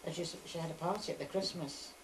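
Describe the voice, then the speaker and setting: Elderly woman, low voice, hesitant, faint background hiss. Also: fast talker. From Manchester, England. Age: older. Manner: hesitant, repeats herself. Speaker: interviewee. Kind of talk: casual family chat.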